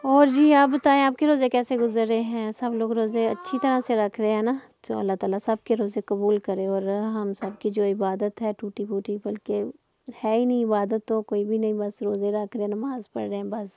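Middle-aged woman, soft mellow tone, slightly high and fairly flat, chatty home-cook voice-over. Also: normal speed. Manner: conversational, rambling. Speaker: home cooking vlogger. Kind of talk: casual chatty cooking voice-over.

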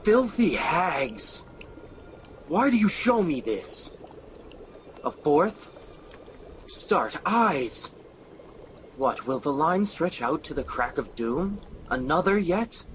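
A man's voice: fairly high pitch, big pitch swings, slow pace, long pauses, slight background noise. A man playing a tormented ambitious king, declaiming Shakespearean verse in an amateur radio drama. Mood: horrified.

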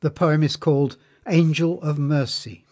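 A man narrating a scripted biography in a measured, formal manner. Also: a little high, fairly even intonation, dark tone.

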